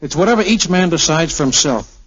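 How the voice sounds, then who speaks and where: An actor playing a frank, world-weary army major in a 1940s radio drama, quietly bitter. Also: male voice, fairly high pitch, expressive, brisk, breathy edge. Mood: bitter, reflective. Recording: dull 1940s radio recording.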